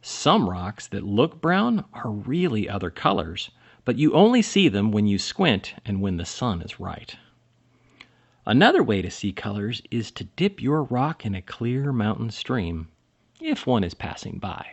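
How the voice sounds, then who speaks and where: Animated man reading a children's storybook. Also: lowish voice, creaky, muffled recording. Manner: dramatic pauses and emphasis for children. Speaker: storybook reader. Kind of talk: expressive children's picture-book read-aloud.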